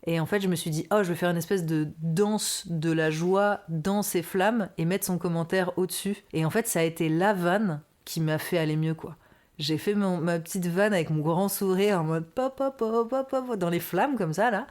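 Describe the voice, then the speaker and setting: Low-voiced Parisian woman in her twenties. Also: moderate pace. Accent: Parisian French. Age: 20s. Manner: animated, many fillers, acts out quoted lines. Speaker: singer and streamer guest. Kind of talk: casual conversational interview.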